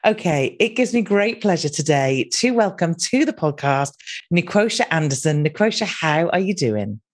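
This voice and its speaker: Woman podcast host, chatty and upbeat, slight croak in the voice. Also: lowish voice, big pitch swings, normal speed. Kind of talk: casual podcast monologue and interview.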